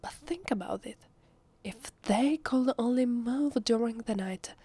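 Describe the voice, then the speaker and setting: Woman acting a breathy Italian-accented vampire in disguise. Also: mid-range voice, no echo, natural voice. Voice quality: soft, husky, breathy, half-whispered. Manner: hesitant, slow, drawn-out. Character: evasive, flustered female vampire posing as human. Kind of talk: ASMR roleplay.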